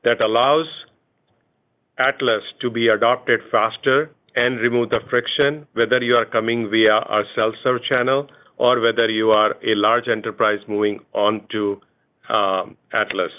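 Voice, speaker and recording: A man speaking as a chief executive on an earnings call, answering in a measured, halting way. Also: fairly low pitch, steady tone. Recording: dull lo-fi conference call.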